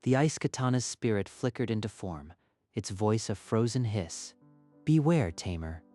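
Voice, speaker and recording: A man's voice: fairly low pitch, moderate pace, warm tone, no room sound, clean studio sound, faint music behind. A man narrating a scripted story in a dramatic style.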